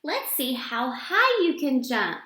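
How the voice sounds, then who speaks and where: Animated, eager schoolgirl read by a woman, echoey room. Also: sits high, takes it slowly, slight background noise, exaggerated voice. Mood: eager, playfully bossy. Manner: excited, playfully bossy. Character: eager, playful schoolgirl with an excitable dog. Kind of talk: children's storybook read-aloud. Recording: roomy, echoing sound.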